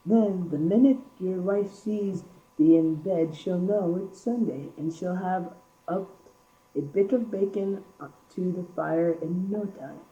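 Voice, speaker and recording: Placid, shrewd workman, acted by a teenage girl, very dark tone, distant dull recording. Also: fairly low pitch, measured pace, natural voice. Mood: reassuring. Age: young teenager. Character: shrewd, placid male English village millhand. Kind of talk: amateur school radio play. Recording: muffled, distant amateur recording.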